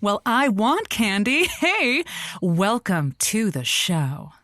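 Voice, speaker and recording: A theatrical lonely-hearts radio hostess in a comedy podcast. She is sharp-tongued and arch, with swooping intonation and a slow, savoring pace. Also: medium pitch, big pitch swings, a little hiss or hum. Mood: showy, arch. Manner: dramatic, wordy, arch. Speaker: radio advice show host. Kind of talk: audio comedy acting.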